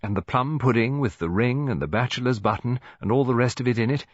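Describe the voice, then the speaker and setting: A man's voice: medium pitch, quick pace, no echo, muffled recording, voice pushed up, natural voice. A man voicing a genteel, practical English lady near seventy, who chatters politely in an audiobook story. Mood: chatty, cheerful. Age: older. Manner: chatty, rambling, polite tag questions.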